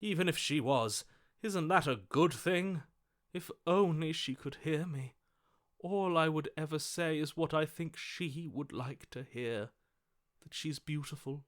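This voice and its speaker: A man voicing a lovestruck, melodramatic romantic hero in a video game, speaking in a theatrical, florid, overwrought manner. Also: fairly high pitch. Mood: adoring, earnest. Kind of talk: video game character voice acting.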